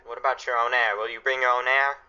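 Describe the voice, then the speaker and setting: An actor playing a stern, anguished father guarding his family, speaking gravely and emphatically in a radio play. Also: mid-range voice, level tone, fast, pushed and bright, dull audio. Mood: firm, curt. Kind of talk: dramatic radio play acting.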